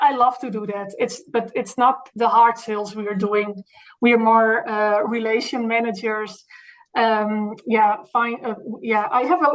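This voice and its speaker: A woman with a Dutch accent, a native Dutch speaker, answering interview questions conversationally in halting, non-native English phrasing. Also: fairly high pitch, a bit bright, poor audio. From Netherlands, Friesland. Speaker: interview guest, dairy marketing salesperson.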